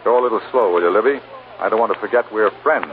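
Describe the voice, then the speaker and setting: An actor playing a proud but wounded washed-up movie star, wry and hesitant, in a 1940s radio drama. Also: male voice, fairly low pitch, fast, phone-quality sound, lightly acted. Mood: hurt, restrained. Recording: thin 1940s radio recording, faint noise.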